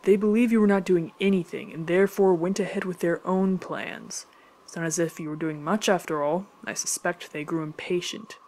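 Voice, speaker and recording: Man as loyal second-in-command, matter-of-fact, stern, skeptical, formal, animated fantasy. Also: high-pitched, normal speed. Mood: resigned reproachful. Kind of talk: scripted animated drama dialogue.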